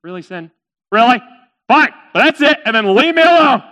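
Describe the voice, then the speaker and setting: A man's voice: high voice, big pitch swings, moderate pace, bright, forceful tone, full character voice. A man playing a sleepy, easily startled desert traveler in a comic story, giving in with exasperated reluctance.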